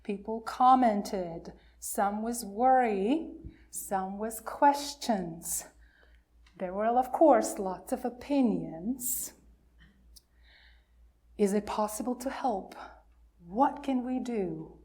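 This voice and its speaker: A woman minister telling a story to a congregation of all ages, pausing and putting questions to her listeners. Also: mid-range voice, darkish tone.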